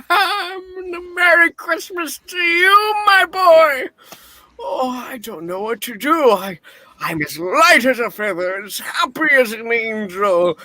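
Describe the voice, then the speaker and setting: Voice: high voice, expressive, takes it slowly, sharp tone, dry recording, exaggerated voice. A performer playing a giddy, newly reformed old miser in a radio play reading, effusive and theatrical. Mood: giddy, kindly.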